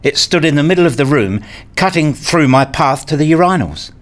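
A man's voice: a little high, animated, moderate pace, natural voice. An actor playing a weary, irritable academic who narrates his own day in a radio play, with a dry, sardonic manner. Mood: flustered. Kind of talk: scripted first-person narration, radio play.

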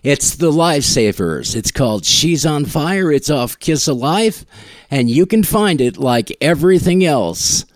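A man's voice: a little high, animated, unhurried, no echo. A man hosting a music podcast, introducing tracks in a conversational way. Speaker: podcast host.

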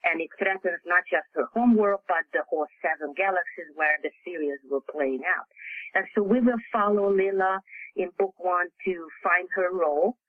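A man from Hungary, native Hungarian speaker with a Hungarian accent, answering interview questions haltingly with many fillers and restarts. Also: sits high, dry recording, phone-quality sound. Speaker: author guest.

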